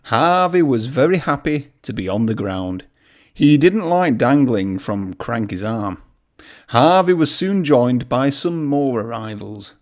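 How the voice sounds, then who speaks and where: A man's voice: medium pitch, sing-song, a little slow. A man reading a children's story aloud in a narrating style. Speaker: storybook reader.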